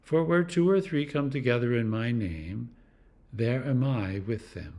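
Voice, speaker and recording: A clergyman reading scripture aloud in a deliberate, expressive way, with dramatic emphasis and pauses. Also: medium pitch. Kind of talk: scripture reading, devotional narration.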